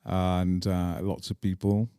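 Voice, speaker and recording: Deep-voiced middle-aged Englishman, mellow. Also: little pitch movement, slow, clear, resonant tone, no room sound, clean studio sound. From England. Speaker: podcast guest. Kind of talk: reflective personal storytelling in an interview.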